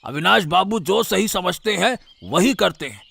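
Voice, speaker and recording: A man's voice: sits high, animated, moderate pace, vocal fry, fairly bright tone, husky, no room sound, full character voice. A man voicing an irritable, scolding senior villager in a cartoon story. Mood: exasperated.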